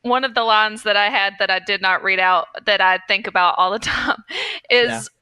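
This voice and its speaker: A woman's voice: mid-range voice, steady tone, moderate pace, pushed and bright, clear, resonant tone, dry recording. A woman co-hosting a podcast in a casual, chatty style. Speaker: podcast co-host.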